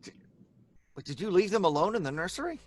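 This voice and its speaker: A stern, exasperated father in a radio drama. His voice is high and lively in pitch. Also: quick pace. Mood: harried. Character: George, stern, harried father.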